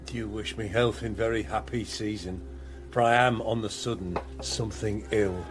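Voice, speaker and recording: An actor playing a wary, gloomy rebel lord in a classical radio drama, formal and sombre. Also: male voice, lowish voice, fairly even intonation, moderate pace, a little hiss or hum, lightly acted. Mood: uneasy.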